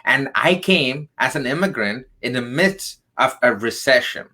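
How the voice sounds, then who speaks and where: A man from southern India speaks with a bright, forward tone at an ordinary middle pitch. He tells his story earnestly and with emphasis as an interview guest, often checking in with "right?". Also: animated, measured pace. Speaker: guest, tech career coach.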